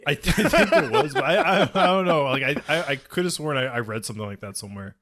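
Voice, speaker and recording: A man chatting casually as a podcast co-host, with hesitant, halting phrasing. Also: mid-range voice, very animated, a bit bright. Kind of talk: casual chat.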